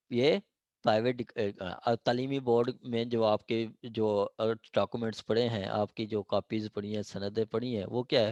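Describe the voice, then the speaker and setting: Man, Pakistani accent, medium pitch, informal teaching style, frequent questions, English terms mixed in, dry lo-fi audio. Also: no room sound. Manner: explanatory, with frequent check-in questions and repetition. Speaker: law lecturer. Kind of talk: informal word-by-word lecture, mixing in English terms.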